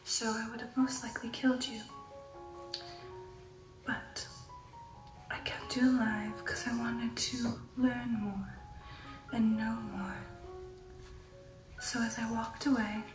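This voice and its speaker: A woman voicing a refined, affectionate female vampire in an intimate ASMR roleplay, with a breathy whisper and a genteel manner. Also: fairly high pitch, level tone, takes it slowly, pauses often, distant mic, over music, poor audio. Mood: wistful, predatory. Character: refined, affectionate female vampire recounting an old shipboard romance. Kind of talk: ASMR roleplay, personal attention.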